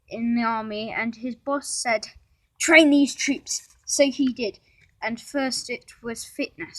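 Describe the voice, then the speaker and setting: A female-sounding amateur storyteller reading a homemade story aloud, halting, with restarts and informal asides to listeners. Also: mid-range voice, fairly bright tone, low-quality recording.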